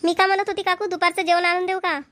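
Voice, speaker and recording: A woman's voice: very high voice, little pitch movement, quick pace, a bit bright. A woman voicing a friendly, gossiping village grandmother and neighbour with a Varhadi Marathi accent in an amateur cartoon comedy. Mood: chatty, neighbourly.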